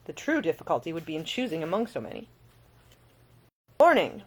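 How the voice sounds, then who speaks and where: A woman reading her own fiction as an audiobook, in an expressive storytelling manner. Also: low-pitched, racing, low-quality recording. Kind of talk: scripted fiction narration.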